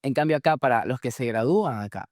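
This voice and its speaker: A man in conversation, explaining step by step as he goes, often restarting phrases and filling pauses. His voice sits at an ordinary middle pitch. The recording is dry and close, with no room echo. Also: animated, darkish tone. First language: Spanish. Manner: explanatory, frequent restarts and fillers. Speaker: guest, surgery resident in the US. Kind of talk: conversational interview answers.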